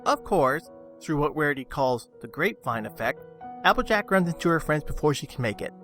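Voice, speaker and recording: A man's voice: on the high side, sing-song, a little fast, fairly bright tone. A man reading a scripted review as a video reviewer. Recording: music under the voice. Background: background music.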